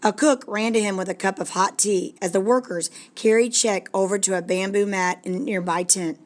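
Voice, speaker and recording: A woman narrates a story aloud at a medium pitch. Her delivery is deliberate and expressive, with a dramatic storytelling manner. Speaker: storybook reader.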